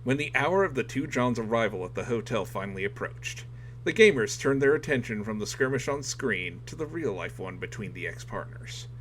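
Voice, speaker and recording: Man reading dramatically over clear background noise. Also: mid-range voice, big pitch swings, a little fast, fairly bright tone, low-quality recording. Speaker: audiobook reader. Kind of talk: dramatic scripted narration.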